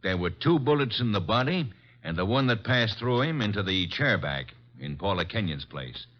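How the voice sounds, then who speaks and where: No-nonsense police inspector, kinda low voice, muffled old radio detective show. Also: male voice, very animated, moderate pace. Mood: businesslike. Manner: plain, businesslike reporting. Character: matter-of-fact male homicide police inspector. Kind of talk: old-time radio detective drama acting. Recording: dull lo-fi old radio recording.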